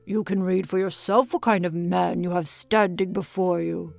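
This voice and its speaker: A woman's voice: on the low side, steady tone, vocal fry, no echo, faint music behind. A woman playing a smug bragging little tailor. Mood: boastful. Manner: loud, slow, puffed-up bragging.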